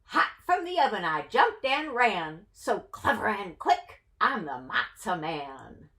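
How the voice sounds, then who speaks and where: A woman's voice: medium pitch, sing-song, slow, sharp tone, exaggerated voice. A woman voicing a cheeky, boastful little runaway matzah man from a storybook, chanting in taunting singsong rhyme. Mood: gleeful bragging.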